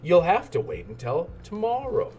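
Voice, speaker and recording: A man voicing a calm, sleepy and gently firm mother pig in a children's storybook reading. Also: on the high side, normal speed, faint music behind, voice pushed up. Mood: sleepy, gentle.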